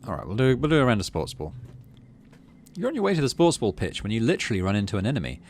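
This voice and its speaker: A man's voice: medium pitch, very animated, rapid-fire, a little mellow, a little hiss or hum. A middle-aged man giving casual let's play commentary and story narration, thinking aloud as he goes. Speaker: gaming video host.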